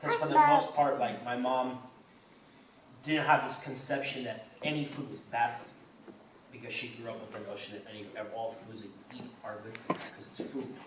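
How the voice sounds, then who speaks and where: A man in a conference hall speaks up from the audience with a lively, swooping voice that rambles and circles back on itself. He is picked up from far off in an echoey room with loud background noise. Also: medium pitch, moderate pace. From Eugene, Oregon. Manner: rambling, animated, repeats himself. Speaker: audience member asking a question. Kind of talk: casual question from the floor.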